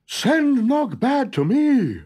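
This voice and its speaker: A man's voice: very high voice, sing-song, slow, dark tone, voice pushed up, exaggerated voice. A man voicing an earnest, commanding storybook king.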